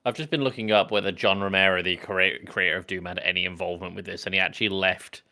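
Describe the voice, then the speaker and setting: Male co-host, fairly low but bright, forceful voice, relaxed film podcast banter. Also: fast talker. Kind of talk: casual film discussion.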